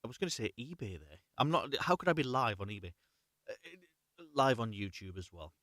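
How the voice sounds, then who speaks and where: Guy doing a live storytime, very up and down pitch, close dry sound, kinda rambly. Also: very animated, a little fast, a bit bright, no echo. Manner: rambling, self-correcting, apologetic about slips. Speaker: host of a live story reading. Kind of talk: casual live chat and unrehearsed storybook reading.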